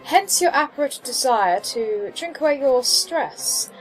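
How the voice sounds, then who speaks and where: A woman's voice: high voice, lively intonation, measured pace, faint music behind, low-quality recording. A woman voicing a classy, proud troll barmaid in a fan dub, with formal, precise diction and dry disapproval. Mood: coolly observant. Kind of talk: fan-dub character acting.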